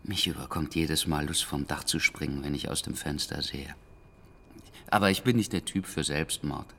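An actor playing a world-weary, despondent betrayed husband, hesitant and brooding, in a German radio drama. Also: male voice, a bass voice, moderate pace, creaky, noisy background. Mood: bitter, resigned. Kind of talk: German radio drama dialogue and inner monologue.

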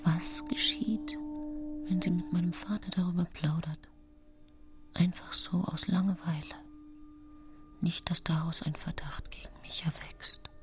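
An actress playing a guilty, secretive mother whose hushed, breathy thoughts are confided in a radio play. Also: fairly low pitch, unhurried, pauses often, lightly acted. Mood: anxious, suspicious. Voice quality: breathy, whispering. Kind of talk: radio play inner monologue. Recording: music behind the voice.

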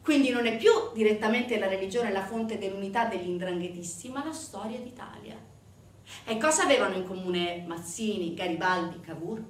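A woman delivering a theatrical narrative monologue to an audience, emphatic, with dramatic pauses and rhetorical questions. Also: mid-range voice. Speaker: stage actor performing a monologue. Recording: echoey, distant stage recording with noise.

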